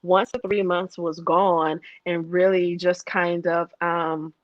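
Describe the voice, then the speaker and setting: A woman guest with a soft voice, talking in a hesitant conversational way with many fillers and restarts. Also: on the low side, flat delivery, takes it slowly, dry recording, dull audio. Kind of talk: conversational interview.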